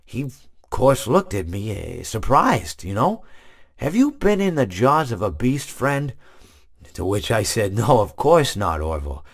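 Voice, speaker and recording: A rambling, long-winded animatronic hippo. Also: male voice, on the low side, big pitch swings, a little slow, gravelly, heavily acted. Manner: rambling, many restarts, meandering anecdotes.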